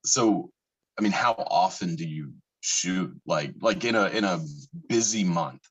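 A man's voice: sits low, unhurried, breathy edge, rough recording. A man interviewing a guest, asking long rambling questions full of "like" and "you know".

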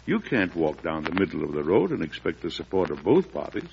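Deep, gravelly, principled president, old radio. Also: male voice, big pitch swings, fairly quick, exaggerated voice. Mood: frank, firm. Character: measured, principled American president, frank elder statesman. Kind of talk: 1940s-50s radio drama acting and narration. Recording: dull, noisy old radio recording.

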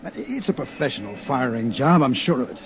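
Matter-of-fact arson cop. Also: male voice, mid-range voice, big pitch swings, brisk. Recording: muffled 1950s radio broadcast with faint hiss.

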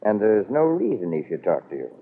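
Deep husky wry radio businessman. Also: wildly swooping pitch, brisk, exaggerated voice. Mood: wary, defiant. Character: proud, wily middle-aged businessman father, cornered but defiant. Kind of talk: 1940s radio soap opera acting. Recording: muffled old radio broadcast.

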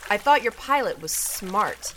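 A woman's voice: medium pitch, animated, measured pace, a bit bright, a little hiss or hum. An actress playing a haughty, threatening henchwoman devoted to her master in a sci-fi audio drama. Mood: menacing, scornful.

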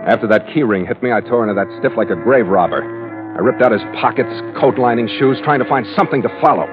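An actor playing a sardonic, hard-boiled seafaring adventurer in a 1940s-style radio drama, wry and clipped. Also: male voice, medium pitch, lively intonation, fast, natural voice. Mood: wry narration. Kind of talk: 1940s radio acting, first-person narration. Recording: tinny old radio, music behind.

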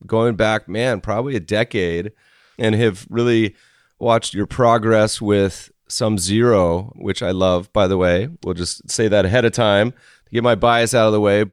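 A hoarse man hosting a podcast, chatting casually with rambling asides. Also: lowish voice, fairly even intonation, unhurried, no room sound, studio quality. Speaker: podcast host. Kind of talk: casual interview chat.

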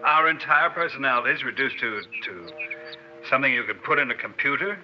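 A man's voice: medium pitch, fairly quick, a little hiss or hum, close to an everyday voice. Male, actor playing impassioned, indignant academic; insistent delivery, 1950s-style radio drama, muffled vintage recording. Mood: agitated, challenging. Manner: intense, insistent. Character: indignant, impassioned non-scientist academic man. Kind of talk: old-time radio drama acting. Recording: dull vintage radio broadcast.

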